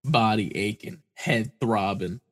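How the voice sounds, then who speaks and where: A man's voice: fairly low pitch, slow pace, a bit bright. A man co-hosting a podcast in casual chat, stuffy-nosed from a cold, tired and rambling, swearing casually. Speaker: podcast co-host.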